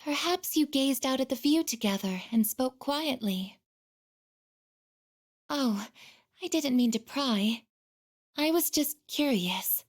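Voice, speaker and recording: A woman playing a gentle, earnest android girl in a video game, speaking politely and formally with soft introspection. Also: high voice, animated, slow, pauses often, full character voice. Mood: flustered, curious.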